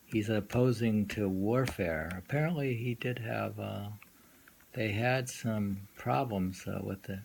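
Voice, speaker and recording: A man reading poems aloud informally and musing on them in a halting way, often repeating lines. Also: fairly low pitch, creaky, a little mellow. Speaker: poetry reader and commentator. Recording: noisy amateur recording.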